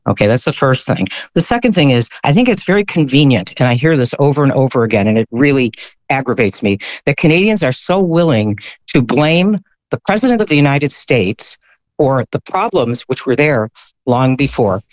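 A man's voice: mid-range voice, animated, moderate pace, no room sound. Man arguing, dull lo-fi sound. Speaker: panel guest. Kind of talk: argumentative political discussion.

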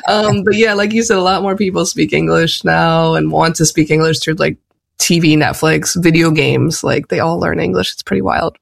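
A young adult host chats casually and upbeat, laughing easily and leaning on "like" between phrases. The voice is steeped in strong, constant vocal fry. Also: medium pitch, fairly even intonation, normal speed. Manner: upbeat, laughs often, says "like" a lot. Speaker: interviewer. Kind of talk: casual interview.

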